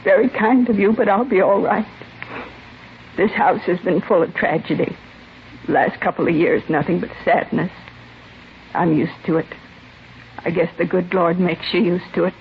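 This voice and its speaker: An American woman acting the part of a grieving, resigned mother in her late forties, subdued and halting, in a 1950s radio drama. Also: lowish voice, gravelly. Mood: sorrowful, resigned. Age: middle-aged. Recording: dull, noisy vintage radio recording.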